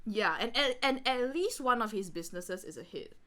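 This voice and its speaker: A woman's voice: a little high, normal speed. A woman podcast co-host chatting casually in a giggly way, acting out jokes as she goes. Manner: animated, giggly, acts out jokes. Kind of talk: casual joking chat.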